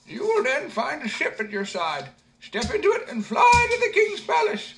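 A man voicing a tiny, magical old man in a very high, slow, squeaky falsetto, reading a storybook aloud. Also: huge pitch swings, fairly bright tone, heavily acted. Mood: instructing.

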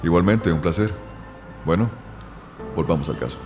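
An actor playing a clinical, matter-of-fact forensic examiner reporting findings in an audio drama. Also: male voice, low voice, lively intonation, moderate pace, over music. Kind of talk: scripted audio drama dialogue.

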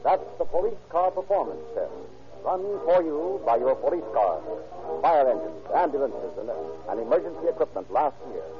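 A man's voice: on the high side, lo-fi sound. A man announcing and narrating in scripted 1930s radio style, formal, emphatic and declamatory. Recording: old radio recording with music.

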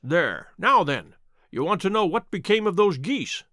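Man as a sardonic, commanding Victorian detective, lively intonation. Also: high voice, dry recording, studio quality, full character voice. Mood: wry, teasing. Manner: measured, stern, dryly mocking. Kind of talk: dramatic audiobook character reading.

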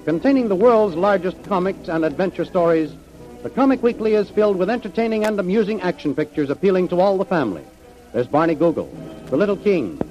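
A man's voice: high voice, a little mellow. A male announcer reading a scripted narration and promotion in old-time radio style. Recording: dull old radio recording. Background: music.

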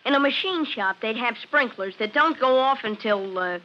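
A woman playing an eager, clever schoolboy in an old-time radio drama, talking in an excited, emphatic, slangy way. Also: on the high side, normal speed, lightly acted. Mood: explaining, confident. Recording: dull old radio recording.

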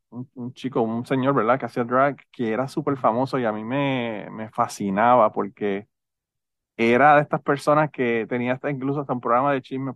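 Male podcast host, Puerto Rican accent, mid-pitched voice, dry sound, informal and talkative. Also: no room sound, lo-fi sound. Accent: Puerto Rican Spanish. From Puerto Rico. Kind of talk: casual conversational interview.

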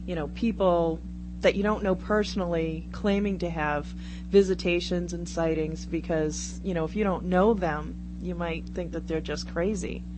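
Low-voiced woman on a noisy recording. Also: fairly even intonation, moderate pace. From Brockton, Massachusetts, United States. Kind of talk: casual radio conversation. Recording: very noisy radio recording with hum.

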